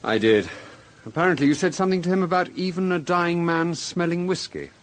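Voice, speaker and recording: A man's voice: high voice, fairly quick, natural voice. A man acting a composed, inquisitive British police inspector in a radio drama, polite and understated. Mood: businesslike.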